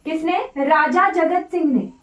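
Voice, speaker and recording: A woman's voice: very high voice, measured pace. An Indian woman teacher giving an informal online class lecture, mixing in English terms, repeating points and checking in often, her voice slightly off. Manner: repetitive, instructive, often checking with "right?". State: voice slightly off today. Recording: roomy echo, distant microphone.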